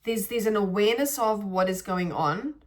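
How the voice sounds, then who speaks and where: A woman speaks in a reflective, spiritual monologue for her podcast. She meanders and pauses to find her words, restarting sentences as she thinks aloud. Her pitch sits in the middle range for a woman. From South Africa. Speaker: podcast host.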